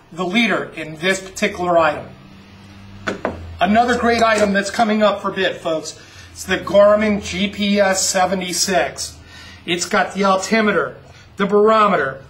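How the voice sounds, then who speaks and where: A man pitching items for an upcoming auction in a folksy sales voice, often calling the listeners folks. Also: fairly bright tone, far from the mic. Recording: roomy, from off the mic.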